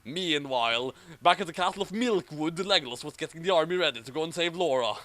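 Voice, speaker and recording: A man's voice: a little high, lively intonation, fast talker, sharp tone, no room sound. A man reading an amateur story aloud and adding mocking commentary. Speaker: reader and commentator.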